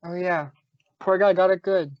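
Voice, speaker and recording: Male police inspector, easygoing and teasing, radio drama, played by a young woman in a lower register; dry, lo-fi sound. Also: steady tone, normal speed, no echo, natural voice. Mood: casual, familiar. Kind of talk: old-time radio detective acting. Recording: dull lo-fi audio, very dry.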